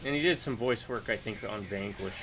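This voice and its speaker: A man's voice: on the low side, a little fast, noisy background. A man chatting casually and wryly with a friend while they play a co-op game together. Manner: easygoing, chuckling, wry. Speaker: gaming channel co-host. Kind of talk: casual co-op gameplay chat.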